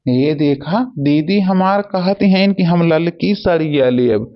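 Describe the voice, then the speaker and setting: Man acting a slow-talking, muffled Awadhi husband. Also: fairly high pitch. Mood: pleading. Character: stubborn, put-upon village husband. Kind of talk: homemade comic cartoon dialogue. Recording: dull, lo-fi sound.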